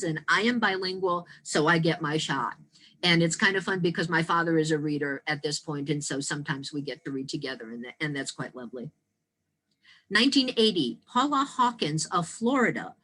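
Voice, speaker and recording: Low-voiced American woman in her sixties, deliberate storyteller. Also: fairly bright tone. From Detroit, Michigan, United States. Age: 60s. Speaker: storyteller and speaker. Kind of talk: prepared historical talk with personal stories.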